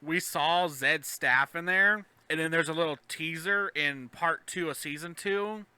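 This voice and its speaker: A man's voice: a little high, unhurried, sharp tone, smooth, no echo. A man talking casually on a fan podcast, trading theories with others. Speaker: podcast speaker.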